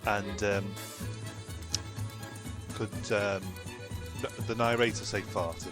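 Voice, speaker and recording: A man in a comedy podcast chatting in a hesitant, halting, polite and deadpan way. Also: lowish voice, music in the background. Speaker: comedy podcast cast member.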